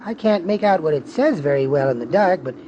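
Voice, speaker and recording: An actor playing a meek, easily impressed little neighbor with a timid, fussy, polite manner, in a noisy 1950s radio comedy recording. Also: male voice, fairly high pitch, animated, fairly quick, mellow, lo-fi sound, close to an everyday voice. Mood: marveling.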